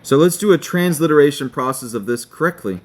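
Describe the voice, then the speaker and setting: A man giving a screen-share tutorial, talking slowly and checking in with his viewers. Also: a little high, lively intonation. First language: not Hebrew. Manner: slow, checking in with "okay?". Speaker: online teacher.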